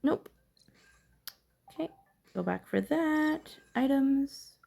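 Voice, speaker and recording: A woman's voice: high voice, lively intonation, takes it slowly, gravelly, warm tone. A woman giving casual gameplay commentary, thinking aloud to herself in a curious, musing way. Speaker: gamer narrating own playthrough.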